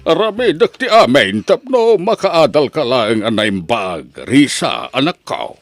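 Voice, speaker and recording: A man's voice: medium pitch, very animated, a little slow, soft background music. A man with an Ilocano accent playing a doting, hardworking poor father, earnest and emphatic, in an audio drama. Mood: earnest, hopeful. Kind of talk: Ilocano radio-style audio drama acting.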